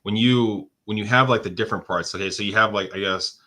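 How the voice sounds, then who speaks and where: A man's voice: on the low side, brisk, sharp tone. A man hosting a podcast, asking hesitant, searching questions with many restarts. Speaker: podcast host. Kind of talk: podcast interview.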